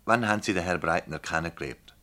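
An actor playing a probing, impatient public prosecutor in a Swiss German dialect radio play, questioning in a formal, insistent way. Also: male voice, low-pitched, quick pace, pushed and bright. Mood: formal, stern.

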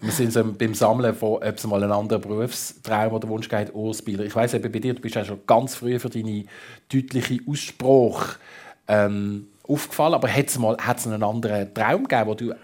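A man with a Swiss German accent and a husky voice, hosting a conversational radio interview. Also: on the low side, steady tone, brisk, a little mellow. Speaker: radio talk show host.

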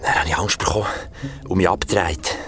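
A man with a Swiss German accent playing an ordinary, excitable guy who retells a horror date in an audio drama, colloquial and vivid. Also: low voice, fairly quick, natural voice. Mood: uneasy. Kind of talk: audio drama first-person storytelling. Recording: studio audio drama with music underneath.

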